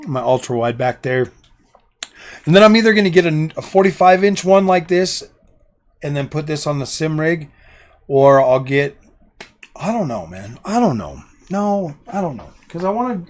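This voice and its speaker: A middle-aged man hosting a casual live stream from his home gaming room, rambling and indecisive, his voice going hoarse. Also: fairly high pitch. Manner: rambling and indecisive, repeats himself. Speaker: livestream host.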